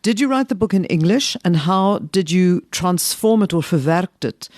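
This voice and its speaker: A woman's voice: on the low side, lively intonation, moderate pace, darkish tone, no echo, studio quality. Inquisitive female podcast interviewer. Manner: inquisitive, drops Afrikaans words into English. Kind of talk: interview questions.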